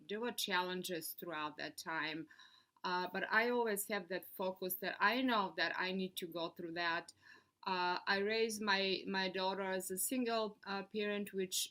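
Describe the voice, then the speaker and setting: A middle-aged woman from Bulgaria, an animated podcast guest who talks with her hands. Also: medium pitch. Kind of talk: casual interview chat.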